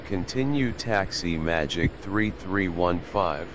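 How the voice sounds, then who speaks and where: A synthetic man plays a brisk male airline pilot in a simulator game, fairly low in pitch. His formulaic readbacks are clipped and come over a dull, noisy radio channel. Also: animated, fairly bright tone, exaggerated voice. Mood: brisk, procedural. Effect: radio filter.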